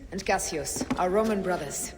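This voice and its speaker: A determined Egyptian woman from a video game, acted by a woman, leading conspirators. She speaks solemnly in a fairly low, measured voice, with reverb and faint ambience around it. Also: noisy background, natural voice.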